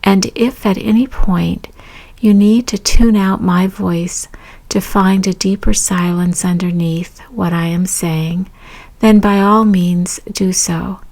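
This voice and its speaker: Slow, creaky-voiced woman guiding meditation. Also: mid-range voice, fairly even intonation. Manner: gentle, measured phrasing with pauses. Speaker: meditation teacher. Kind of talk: guided meditation narration.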